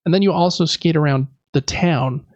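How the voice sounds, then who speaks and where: A man's voice: fairly high pitch, normal speed, a little mellow, no echo, dull audio. A man hosting a casual board game podcast, chatting and explaining things in a conversational way. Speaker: podcast host.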